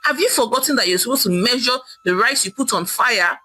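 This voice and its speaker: A miserly Nigerian head of the house, performed by a woman. He blusters in incredulous, demanding tones that rise and fall sharply. The voice is high and forceful, over soft background music in a folktale telling. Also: lively intonation, a little fast. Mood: suspicious. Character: stingy, indignant Nigerian father and chief, blustering man.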